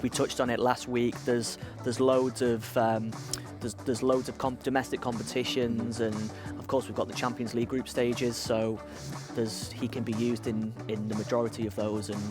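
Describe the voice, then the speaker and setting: A man's voice: mid-range voice, level tone, normal speed, few pauses, music in the background. Man with a northern English accent. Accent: northern English (Cheshire). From England. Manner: hesitant, repeats words while thinking. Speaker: co-host. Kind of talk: casual football chat.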